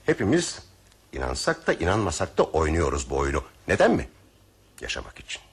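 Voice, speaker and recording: A very deep, badly husky male voice plays a cynical train passenger in a radio drama. He muses with irony and formal wording, his delivery swinging widely as he philosophizes. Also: huge pitch swings, fairly quick, exaggerated voice. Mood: philosophical, bitter. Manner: theatrical, musing, ironic, formally worded. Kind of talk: Turkish radio theatre acting.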